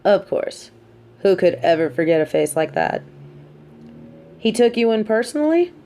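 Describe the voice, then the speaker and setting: A woman playing a sardonic, scheming supervillain in a scripted audio roleplay, with a theatrical, measured, wry delivery and grudging sympathy. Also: medium pitch, very animated, dark tone, a little hiss or hum. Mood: probing, intrigued. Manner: theatrical, measured, wry, drops into muttered asides.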